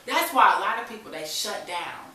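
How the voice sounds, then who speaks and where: A woman's voice: medium pitch, expressive, brisk, bright, forceful tone. An American woman telling her personal story candidly as a guest, in earnest, colloquial, emphatic talk where she repeats herself. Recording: echoey room, distant mic, faint hiss.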